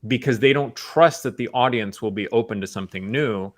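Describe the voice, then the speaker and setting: A man's voice: mid-range voice, fairly quick. An American man on a film talk show, reasoning analytically through his points in casual conversation.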